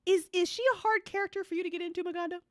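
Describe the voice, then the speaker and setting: A woman's voice: very high-pitched, steady tone, rapid-fire, dry recording, studio quality. A woman hosting a casual interview show, effusive, repeating her phrases and swearing casually. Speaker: host of a podcast after-show and audio drama editor. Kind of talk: casual chat, interviewing cast.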